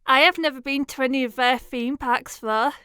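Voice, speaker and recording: Woman playing a very high, bright young woman. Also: fairly even intonation, normal speed, clear, resonant tone, no room sound, studio quality. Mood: cheerful. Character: agreeable, enthusiastic young woman in friend group. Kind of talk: audio play acting.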